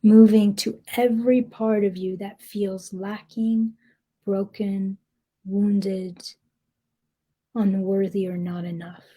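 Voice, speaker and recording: A woman guiding a meditation in a soft, breathy, gentle voice, speaking deliberately with long pauses. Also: mid-range voice, flat delivery. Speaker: meditation guide. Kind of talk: guided meditation, slow soothing narration.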